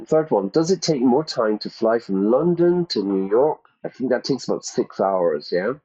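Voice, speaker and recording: A man's voice: mid-range voice, warm tone, highs cut off. A man teaching English to learners, reading out quiz questions and repeating the answer options. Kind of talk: ESL quiz lesson.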